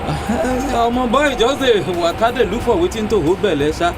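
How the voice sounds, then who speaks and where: A man's voice: high voice, lively intonation, normal speed, music in the background. An actor with a Nigerian accent playing a cheerful, idle young man in a radio drama, chatting in Pidgin and chuckling often. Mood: playful.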